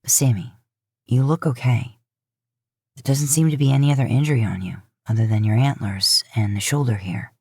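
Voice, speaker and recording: A woman voicing a protective, gruff older brother who talks in a plain, coaxing, reassuring way in a story reading. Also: extremely low pitch, moderate pace, dark tone, no echo, studio quality, voice pushed down, exaggerated voice. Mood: concerned, calm.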